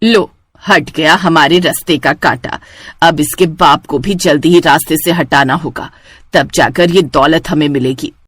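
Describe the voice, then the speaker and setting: A woman's voice: sits low, a little slow, fairly bright tone, breathy edge, dry recording, pitched below the performer's own voice, full character voice. A woman voicing a cold-hearted, greedy village aunt who talks in a sly, calculating way, in a cartoon. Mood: cold, sinister. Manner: sly, calculating, falsely concerned. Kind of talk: Hindi cartoon villain acting.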